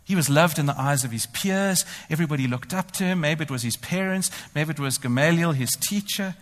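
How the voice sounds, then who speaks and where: A man preaching with an animated, ironic delivery that switches into first-person boasting. His voice sits a little high for a man. The sound carries a long hall reverb, as if from a church. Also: a little fast. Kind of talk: sermon.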